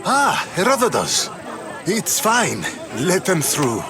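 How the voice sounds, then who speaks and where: A man's voice: sits high, wildly swooping pitch, slow, a crowd in the background, noisy background, heavily acted. An actor playing a wry, weary, dignified Athenian statesman in a video game, speaking formally and articulately. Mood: welcoming. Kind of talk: dramatic video game acting.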